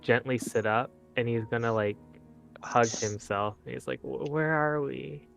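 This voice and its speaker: A man playing a tabletop roleplaying game, talking casually and haltingly as he acts out his character. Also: mid-range voice, fairly even intonation, gravelly, fairly bright tone, soft background music, rough recording.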